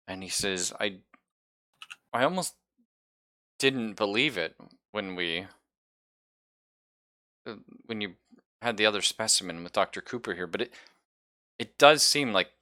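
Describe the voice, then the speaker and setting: A man's voice: on the low side, animated, slow, long pauses, sharp tone, dry recording, studio quality. A man running a tabletop roleplaying game as game master, casual and informal, thinking aloud and swearing freely.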